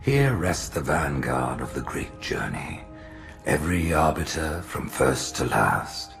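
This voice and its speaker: Alien high priest: extremely low, gravelly, silky menace, imperious. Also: male voice, sing-song, takes it slowly, faint music behind, full character voice. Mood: menacing. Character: scheming ancient alien high priest, male.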